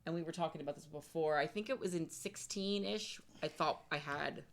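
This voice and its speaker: A woman's voice: low voice, a little fast. A woman game designer in a casual interview, explaining how a board game works.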